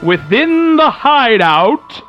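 A man's voice: very high-pitched, very animated, extremely slow, highs cut off, faint music behind. A man narrating in the melodramatic style of an old radio serial.

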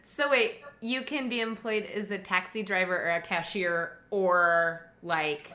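A woman's voice: lowish voice, a little slow, bright, forceful tone, reverberant. A woman co-hosting a podcast, chatting in a playful, teasing way and laughing easily. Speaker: podcast co-host. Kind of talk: casual chat.

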